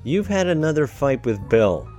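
A man's voice: mid-range voice, very animated, normal speed, darkish tone, soft background music, lightly acted. A man playing a genial, urbane married theater man in an audio drama, with a wry manner. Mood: uneasy, placating.

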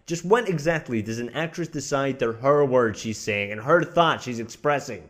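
A man's voice: mid-range voice, animated, normal speed, a bit bright, natural voice. A man playing a proud, witty, exasperated playwright, arguing in an articulate theatrical radio drama style. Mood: angry, scornful. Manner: articulate, scornful, argumentative. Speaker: voice actor in an audio drama.

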